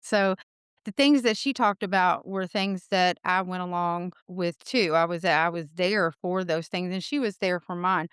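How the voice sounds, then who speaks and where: A woman's voice: fairly low pitch, a little slow, fairly bright tone, clear, resonant tone, no echo, studio quality. A woman in her forties with a Southern American accent, a podcast guest chatting casually and laughing easily. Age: 40s. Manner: laughs easily, talks in loose run-on sentences. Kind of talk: casual conversation.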